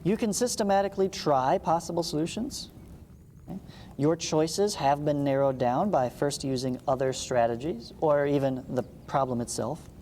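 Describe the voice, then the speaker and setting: A man's voice: on the high side, moderate pace, a little mellow. A man teaching math, reading a textbook aloud and breaking off for informal explanatory asides. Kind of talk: read-along textbook lesson with casual asides. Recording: reverberant room with background hiss.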